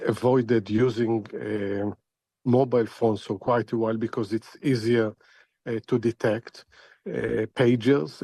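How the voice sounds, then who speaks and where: Halting, deliberate male expert analyst, dry sound. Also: mid-range voice, gravelly, warm tone, slightly rough, dry recording. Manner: halting, deliberate, repeating words as he thinks. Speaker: expert analyst, interview guest. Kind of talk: spoken analysis in a news interview.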